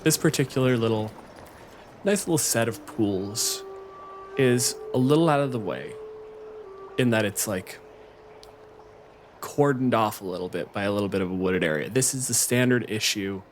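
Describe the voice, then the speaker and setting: A man's voice: on the low side, lively intonation, normal speed, pauses often, a little hiss or hum. A man speaking as a game master, casually improvising scenes and chatting in an informal, conversational way. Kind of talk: casual chat and improvised tabletop scene narration.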